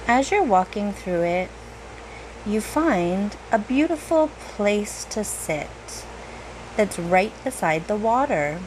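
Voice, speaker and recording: A woman speaks as a meditation guide, very slowly and gently. She leaves long pauses between unhurried phrases. A light, steady hum like air conditioning sits behind her mid-pitched voice. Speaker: meditation guide, reiki teacher. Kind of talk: guided meditation, slow soothing narration.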